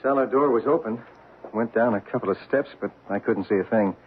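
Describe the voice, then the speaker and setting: An actor playing a businesslike investigator's technical assistant in an old radio drama, understated and factual. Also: male voice, mid-range voice, a little fast, phone-quality sound, lightly acted. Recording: band-limited, noisy old radio recording.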